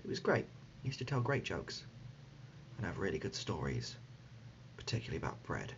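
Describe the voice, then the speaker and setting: A man playing an absurd, deadpan dad in an ASMR comedy roleplay, speaking haltingly and gently, often dropping to a whisper. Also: low-pitched, vocal fry, voice pushed down, heavily acted. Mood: fond deadpan. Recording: dull lo-fi audio, loud background noise.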